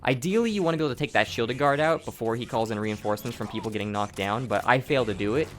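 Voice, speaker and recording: A man with a hoarse, sore throat giving casual commentary over his own speedrun, explaining each step, often restarting his sentences. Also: mid-range voice, fast talker, fairly bright tone, faint music behind. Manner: explanatory, rambling, with frequent restarts and profanity. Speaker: gameplay commentator.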